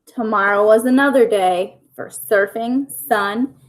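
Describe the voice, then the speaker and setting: A woman storyteller reading a picture book aloud to young children in simple, deliberate phrases. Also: mid-range voice, poor audio.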